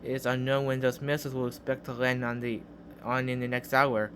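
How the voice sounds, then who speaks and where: A man's voice: medium pitch, flat delivery, brisk, fairly bright tone, a little hiss or hum. A man delivers a scripted mock emergency broadcast in a formal, official announcer's manner.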